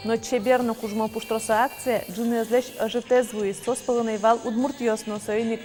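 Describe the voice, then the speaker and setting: A woman's voice: on the high side, normal speed, faint music behind. Woman reading regional news, reverby. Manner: steady, even newsreader delivery. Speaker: news anchor. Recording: long reverb, faint noise.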